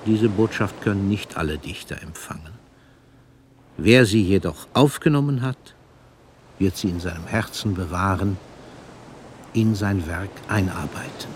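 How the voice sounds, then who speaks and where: An actor playing a celebrated older male poet who reflects solemnly in a measured, declamatory radio play monologue. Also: deep voice, noisy background. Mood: solemn, moved.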